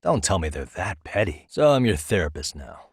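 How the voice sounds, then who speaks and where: A man's voice: low voice, very animated, moderate pace, breathy edge, no echo, clean studio sound, full character voice. A man playing a streetwise private detective in a video game, cool, wry and dogged, with an American accent and a casual, conversational delivery. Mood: serious, probing. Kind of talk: English video game voice acting.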